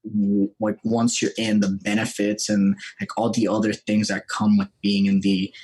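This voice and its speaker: A man's voice: fairly low pitch, monotone, normal speed, a little mellow, husky, rough recording. A young man from Rico, telling his story informally as a podcast guest. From Puerto Rico. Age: young adult. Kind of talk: casual chat, telling personal story.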